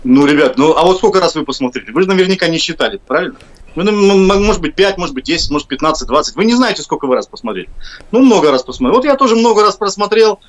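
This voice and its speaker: Musician guest from Kazakhstan, Russian accent, chatty and rambling, quick slightly raised voice, video call. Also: male voice, sits high, expressive. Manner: chatty, rambling, jokey asides. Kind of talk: live-stream interview. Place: home, abroad on a video call.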